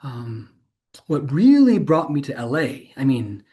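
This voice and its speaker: An actor playing an enthusiastic, informal university instructor in a conversational monologue, animated and rambling, often interrupting themselves. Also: medium pitch, measured pace. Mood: reflective, eager.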